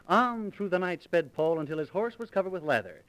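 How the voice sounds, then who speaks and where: A mock-heroic comic storyteller declaims and wisecracks in a highish, very animated voice. The sound is close and fairly dry, on a 1930s radio transcription. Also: male voice, fairly quick, dry recording. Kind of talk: 1930s radio comedy narration.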